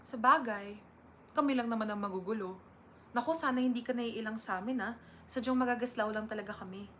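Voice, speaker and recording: A woman's voice: on the high side, a little fast, fairly bright tone, close to an everyday voice. A Filipino woman playing a chatty, proud and bossy college instructor in her thirties in an amateur dramatic reading. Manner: chatty, officious. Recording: faint background noise.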